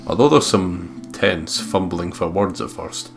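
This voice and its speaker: Low-voiced man narrating dramatically. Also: measured pace, gravelly, music in the background. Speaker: let's player reading the game aloud. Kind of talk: dramatic first-person story narration.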